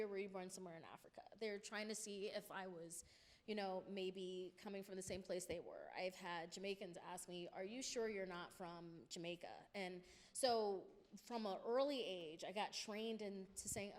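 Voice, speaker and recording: Woman on a panel, thinking out loud, lots of you-knows, mid pitch. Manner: thoughtful, frequent "you know" fillers. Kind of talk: panel discussion. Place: college lecture hall.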